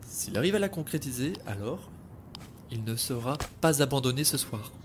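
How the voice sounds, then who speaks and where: A man telling a scripted story with expressive, dramatic delivery at a middle pitch. Music plays clearly beneath his voice. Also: moderate pace. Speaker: podcast creator and narrator. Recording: music playing behind.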